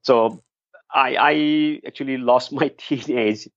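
A man's voice: mid-range voice, slow pace, no room sound. A middle-aged man from Bangladesh, telling his story earnestly as an interview guest. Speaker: guest, nonprofit founder. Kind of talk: interview answers. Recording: dry, slightly muffled lo-fi sound.

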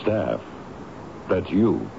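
An actor playing a gruff, hard-hearted, sardonic tenant in a radio drama, with a dry, dismissive manner. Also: male voice, sits low, very animated, drawn-out words, full character voice. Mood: scornful. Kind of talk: 1970s radio drama acting. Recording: dull, noisy old radio broadcast.

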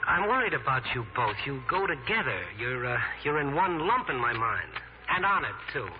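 Guy doing kind gentle american gentleman, medium pitch, reassuring, old radio drama w heavy background noise. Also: very animated, normal speed, natural voice. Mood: concerned. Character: kindly, solicitous plain-faced American gentleman. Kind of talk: 1940s radio acting. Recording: muffled, noisy 1940s radio broadcast.

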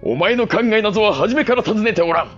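An actor playing a cold, middle-aged samurai patriarch in a period audio drama, speaking in formal, commanding lordly phrases. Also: male voice, high-pitched, lively intonation, quick pace, dull audio, soft background music.

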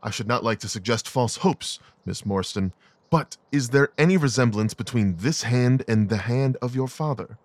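A man playing a brilliant, coolly rational gentleman detective in an audio drama, speaking in a precise, clipped, analytical way. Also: medium pitch, moderate pace, no room sound, close to an everyday voice. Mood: brisk, analytical.

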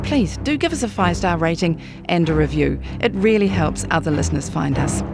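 A woman delivers a scripted podcast sign-off. Her voice sits a little low. Music plays clearly behind her as she presents.

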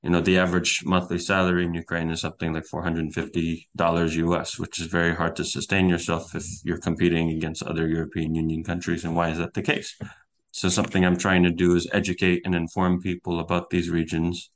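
Low, flat-voiced young man explaining. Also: fairly quick, a little hiss or hum. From United States. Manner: earnest, explanatory, with small restarts. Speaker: guest journalist. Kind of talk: podcast interview.

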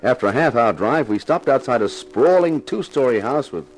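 An actor playing a wry, flirtatious American sleuth with a dry wisecracking manner, narrating and talking in a 1950s radio detective drama. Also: male voice, mid-range voice, lively intonation, normal speed, faint music behind, close to an everyday voice, rough recording.

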